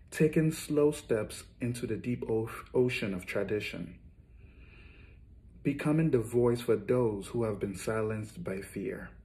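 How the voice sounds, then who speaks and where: A man's voice: fairly low pitch, mellow. A man, an author and poet, introducing and reading his own work.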